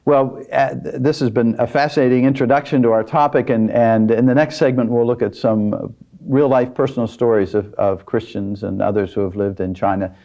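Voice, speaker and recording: A man hosts a talk show with a mid-range voice. He asks measured, clarifying questions of his guest. The recording has a dull, lo-fi tone. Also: medium pitch, fairly even intonation, mellow. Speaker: host.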